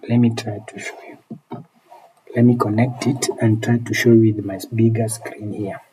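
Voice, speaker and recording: A man's voice: fairly low pitch, level tone, moderate pace, mellow, a little hiss or hum, poor audio. A man with a Kenyan accent chatting casually on a livestream as he teaches repair and replies to viewers, often repeating his phrases. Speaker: phone repair technician and live streamer.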